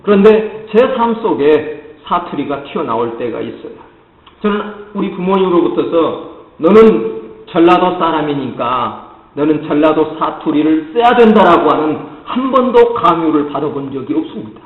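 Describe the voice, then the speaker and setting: A man's voice: on the high side, expressive, normal speed. A male pastor from the Jeolla region of Korea with a Jeolla accent, preaching earnestly and often speaking straight to his congregation. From Jeolla, South Korea. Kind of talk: sermon. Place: church sanctuary. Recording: echoey church hall, muffled lo-fi sound.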